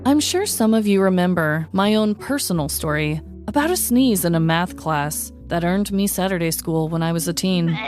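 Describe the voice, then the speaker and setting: A woman hosting a podcast, reading a comedic true-crime story with wry, deadpan delivery. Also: lowish voice.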